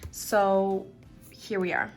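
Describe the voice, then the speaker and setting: Young woman in her twenties, casual vlogger, slightly raspy and tired, relaxed chatty delivery. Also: mid-range voice, extremely slow, soft background music. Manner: relaxed, chatty, uses "like" and "literally" often. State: slightly raspy from lack of sleep. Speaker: budgeting vlogger. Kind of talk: casual chat to camera.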